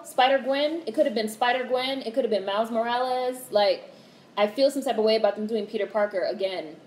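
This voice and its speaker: A young woman in her twenties hosting a casual livestream, talking in a monotone way, candid and swearing casually as she reads out comments. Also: medium pitch. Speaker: livestream host. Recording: roomy echo with faint background hiss.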